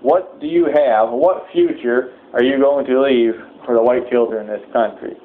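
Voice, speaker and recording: A man preaching a sermon-like address in a solemn, exhorting manner, with halting hesitations as he goes. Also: medium pitch. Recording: thin phone-like sound, clear background noise.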